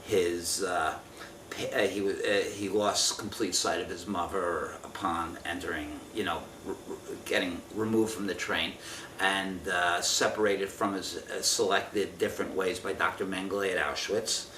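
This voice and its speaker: Man, 50s, interviewee. Low, bright voice, careful halting recall, distant mic. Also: steady tone. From Israel. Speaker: interviewee giving family testimony. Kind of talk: oral history interview, recounting from memory. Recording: roomy, distant mic with faint noise.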